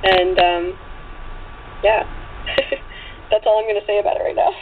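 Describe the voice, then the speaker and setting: A woman's voice: mid-range voice, steady tone, a little slow. Woman on a tinny, noisy phone line. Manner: chatty, frequent 'like' and 'you know'. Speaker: guest author and actress. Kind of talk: casual interview chat. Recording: tinny phone line with clear background noise.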